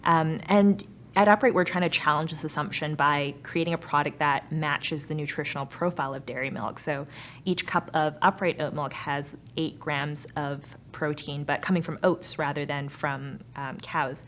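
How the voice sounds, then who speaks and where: A woman's voice: low voice, steady tone, moderate pace, fairly bright tone, a little hiss or hum. A young woman with a Canadian accent, answering interview questions as a startup founder in an earnest, explanatory way. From Canada, grew up in Vancouver. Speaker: startup founder and chief executive. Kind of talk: interview answers about own company.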